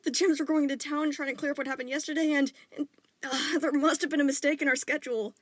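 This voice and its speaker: A woman's voice: fairly high pitch, normal speed, no room sound, close to an everyday voice. A woman voicing a worried, guilt-stricken middle-aged father who stammers through anxious apologies. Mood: frantic apology.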